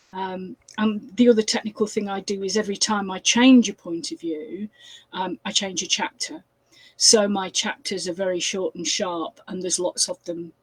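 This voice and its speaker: A woman's voice: medium pitch, husky, dry recording, rough recording. A woman in her sixties with a Yorkshire accent, chatting as a crime author over a video call with frequent fillers and restarts. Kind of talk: casual interview chat.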